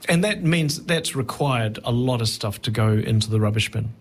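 A middle-aged man talking reflectively as an interview guest, thoughtful and halting, often rephrasing mid-sentence. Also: medium pitch, lively intonation. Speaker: touring stand-up comedian, interview guest.

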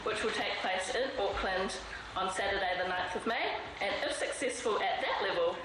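A woman's voice: medium pitch, normal speed. Woman announcing an event in an echoey hall. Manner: formal announcing. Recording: echoey hall with background noise.

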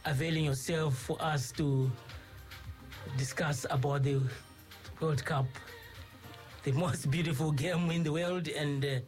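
A man's voice: on the high side, fairly even intonation, measured pace, long pauses, soft background music. A man hosting a radio interview, speaking formally and courteously, with many fillers and repeated phrases. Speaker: radio host. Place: radio studio.

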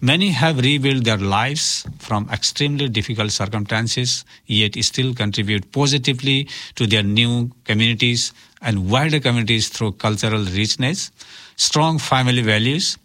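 A man's voice: medium pitch, moderate pace, pushed and bright. Earnest, formal man, native Nepali speaker. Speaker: interview guest, community worker and officiant for migrants and refugees.

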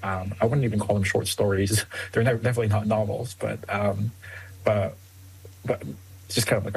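Gravelly, hesitant Filipino-born man. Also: lowish voice, a little hiss or hum, rough recording. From Philippines. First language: Tagalog. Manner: hesitant, many ums, soft laughs. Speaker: author guest. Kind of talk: interview answers.

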